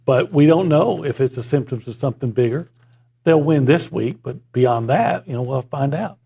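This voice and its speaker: A man talking sports as a columnist on a studio show, measured and analytical, with dry asides. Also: mid-range voice, expressive, creaky, dark tone, slightly rough. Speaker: sports columnist and regular guest. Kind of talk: casual sports talk chat. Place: broadcast studio.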